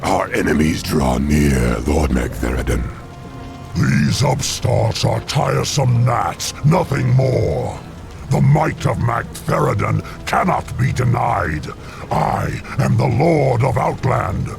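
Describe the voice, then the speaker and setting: A man voicing an arrogant, wrathful demon overlord in a video game, gravelly, pompous and threatening. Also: lively intonation, slow, over music, full character voice. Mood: boastful scorn. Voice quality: gravelly, booming. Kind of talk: dramatic game villain acting.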